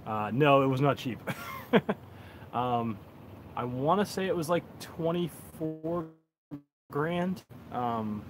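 A man in his thirties from the United States, rambling casually as he hosts a livestream on his phone outdoors. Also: mid-range voice. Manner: laid-back, rambling, chuckles often. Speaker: whiskey reviewer, livestream host. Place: outdoors on a backyard deck. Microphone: cell phone on a tripod. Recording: noisy outdoor phone recording.

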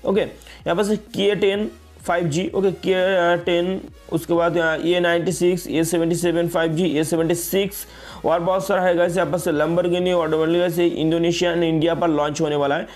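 Young guy doing a casual phone tutorial, voice on the high side, repeats himself a lot. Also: level tone, moderate pace, darkish tone, faint music behind. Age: young adult. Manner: repetitive, frequently addresses viewers as guys, mixes in English words. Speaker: tech video host.

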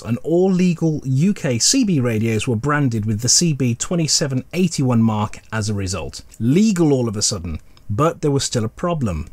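A man's voice: medium pitch, dry recording. A middle-aged British man giving a chatty, scripted retrospective narration with wry, colloquial humour. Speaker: presenter.

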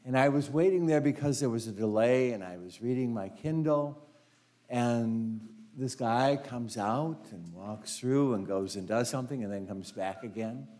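A man's voice: medium pitch, normal speed, dark tone. An older man, a priest, giving a conversational homily in a large church, full of anecdotes, often saying "you know" and laughing. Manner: chatty storytelling, frequent "you know", laughs easily. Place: large carpeted church sanctuary. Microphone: lapel mic, walking in front of altar.

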